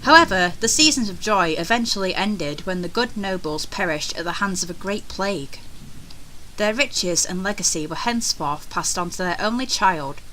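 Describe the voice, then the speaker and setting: A woman doing chatty, excitable let's-play commentary on a game, sometimes reading its text aloud. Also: medium pitch, normal speed, a little hiss or hum, poor audio. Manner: excitable, chatty, easily startled. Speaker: host of a gaming let's play.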